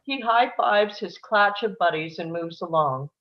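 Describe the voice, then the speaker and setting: A woman's voice: lowish voice, animated, unhurried, highs cut off. A woman reading stage directions as the narrator of a live screenplay table read.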